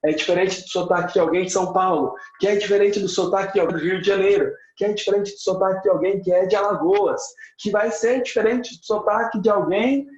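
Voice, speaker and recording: A man's voice: high-pitched, brisk, hardly any pauses, darkish tone. A Brazilian man with a Brazilian Portuguese accent, an English teacher giving an emphatic motivational lesson, repeating points for stress and dropping in English words. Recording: echoey room, slightly muffled sound.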